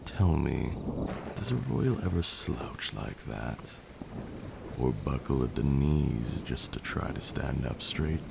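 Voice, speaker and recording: A man's voice: rumbling low, lively intonation, slow, gravelly, echoey room, heavy background noise, full character voice. A man playing a haughty but caring vampire lord in an ASMR roleplay, speaking formally and sternly. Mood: firm, concerned.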